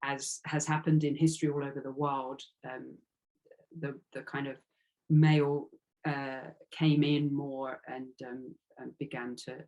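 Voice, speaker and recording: A woman's voice: a bass voice, level tone, measured pace, darkish tone, poor audio. A woman giving a reflective interview as an author, speaking earnestly and tentatively and circling around her phrases.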